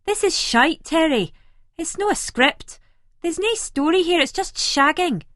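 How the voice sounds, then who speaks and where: A woman's voice: very high voice, animated, unhurried, a bit bright, no echo, clean studio sound, pitched above the performer's own voice, exaggerated voice. A woman voicing a fussy, earnest young Scottish man who is sarcastic and challenging, in an audiobook. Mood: exasperated.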